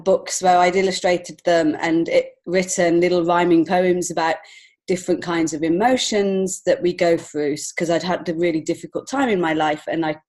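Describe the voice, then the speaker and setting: A woman's voice: on the low side, darkish tone. Reflective English woman in conversation. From England. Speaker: podcast guest, children's author and illustrator. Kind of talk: conversational interview storytelling.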